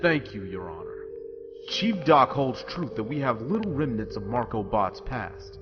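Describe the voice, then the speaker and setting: A man's voice: medium pitch, very animated, moderate pace, lo-fi sound, music in the background, natural voice. A man playing a composed, steadfast military commander in an audio drama, speaking formally and articulately. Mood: formal, resolute. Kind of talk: fan audio drama acting.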